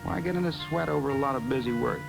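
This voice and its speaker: A man's voice: medium pitch, fast talker, vocal fry, low-quality recording. A man reading dramatic scripted narration on a vintage film soundtrack. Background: music.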